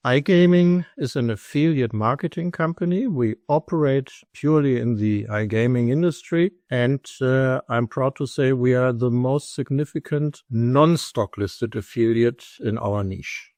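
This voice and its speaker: A man's voice: mid-range voice, a little mellow, no room sound. German-accented middle-aged man, dry sound. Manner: measured, storytelling, speaks in full explanatory sentences. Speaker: guest, company executive and lecturer. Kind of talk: conversational podcast interview.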